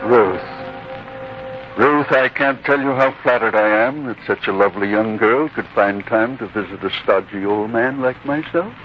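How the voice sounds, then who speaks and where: A man acting a kindly, humble old scholar in a 1940s radio drama, speaking in a halting, courteous, avuncular way. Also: mid-range voice, faint music behind, lightly acted. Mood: fond, teasing. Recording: muffled, noisy 1940s radio recording.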